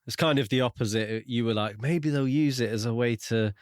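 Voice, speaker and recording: Man, podcast co-host, relaxed book discussion, wry and questioning, medium pitch, dry close sound. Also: fairly quick, smooth, no echo.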